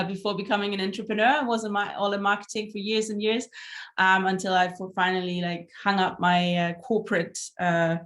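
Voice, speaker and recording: A woman from Germany speaks with a German accent, hosting an online meeting. Her pitch sits mid-range and her talk is friendly and chatty, full of ums and restarts. She sounds like she is on a video call. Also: a bit bright. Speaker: meeting host.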